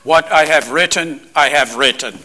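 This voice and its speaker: A man playing an imposing, weary Roman governor in a dramatized scripture reading, speaking in a deliberate, declamatory way. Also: fairly high pitch, pushed and bright. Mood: firm.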